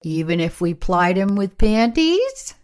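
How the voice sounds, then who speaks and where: An actress playing a touchy, sarcastic time-travelling camera operator in conversational radio play dialogue. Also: female voice, medium pitch, very animated, unhurried, darkish tone, clean tone. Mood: sardonic, irritated.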